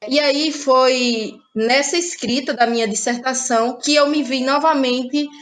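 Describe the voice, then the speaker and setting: A woman's voice: high voice, fairly even intonation, measured pace. A woman from Brazil, reflectively telling about her own work as an interview guest. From Ceará, Brazil. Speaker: interviewed playwright and actress. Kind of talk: conversational personal account.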